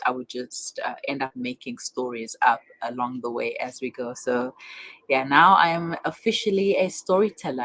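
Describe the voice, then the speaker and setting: A woman hosting a radio talk show, speaking in a somewhat hesitant, halting way. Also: a bass voice, no room sound, low-quality recording. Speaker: radio host.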